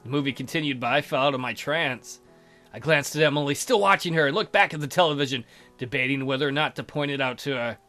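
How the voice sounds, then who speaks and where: An awkward teenage schoolboy tells his own story in a visual novel, read by a man. He is hesitant and stammers over his words. The voice is bright, with faint music underneath. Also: medium pitch, quick pace, natural voice.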